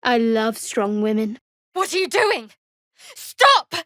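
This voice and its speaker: Guarded young dark cleric girl from a fantasy game, sardonic n measured, highish voice, super dry close studio sound. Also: very animated, no echo, full character voice. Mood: teasing, sardonic, then angry, threatening. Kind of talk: scripted fantasy video game dialogue.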